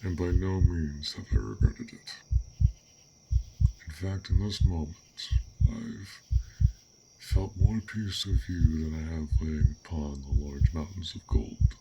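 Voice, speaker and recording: A man voicing a gentle, bashful dragon in an intimate fantasy audio roleplay, speaking haltingly in formal, old-fashioned words. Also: rumbling low, vocal fry, heavy background noise, exaggerated voice. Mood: fond, content. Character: fearsome but gentle, bashful, curious male dragon. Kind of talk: roleplay audio acting, intimate one-sided dialogue.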